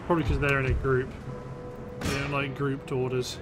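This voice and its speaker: A man's voice: medium pitch, completely flat delivery, normal speed, music in the background. A British man giving casual let's play commentary on a strategy game, thinking aloud in short remarks. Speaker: gameplay host.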